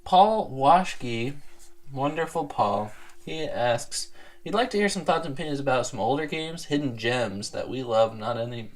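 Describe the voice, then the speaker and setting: A man's voice: medium pitch, animated, unhurried. An American man co-hosting a casual show, reading out viewer questions and chatting about them without a script. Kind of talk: casual unscripted chat.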